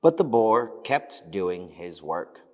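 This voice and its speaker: A man reading scripted fables as a storybook narrator, expressive and deliberate. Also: low voice. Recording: long hall reverb.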